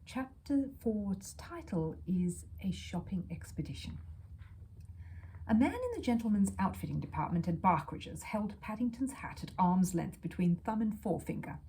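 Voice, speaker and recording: A woman reading a children's story aloud as an expressive narrator. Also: mid-range voice, moderate pace, a little hiss or hum, poor audio.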